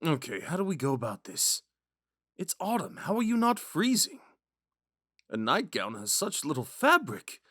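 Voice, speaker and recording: Casting: male actor as shy, smitten young prince, gentle and hesitant, lightish pitch, animated intonation, intimate dry ASMR sound. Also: moderate pace, no echo, heavily acted. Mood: awkward, caring. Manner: soft-spoken, hesitant, stammering when flustered. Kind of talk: ASMR roleplay, scripted monologue to a listener.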